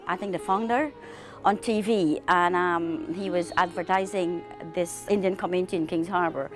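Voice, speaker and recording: A woman speaking in the style of a personal testimonial for an advertisement. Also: mid-range voice. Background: soft sitar music.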